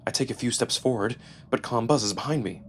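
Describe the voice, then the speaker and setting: A man narrating a first-person story dramatically, with expressive, deliberate pauses. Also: medium pitch, slight background noise. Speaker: narrator and performer. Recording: music behind the voice. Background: music.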